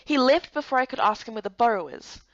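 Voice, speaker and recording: A young woman doing an expressive, theatrical reading of a visual novel game as narrator and heroine. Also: fairly high pitch, fast talker, no room sound, highs cut off. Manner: animated, theatrical. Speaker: voice actor narrating and playing the heroine.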